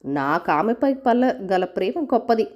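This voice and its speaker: An insistent young suitor in a folk tale, read by a woman, argues his claim firmly. The voice is fairly high, with animated rises and falls. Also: moderate pace, lo-fi sound.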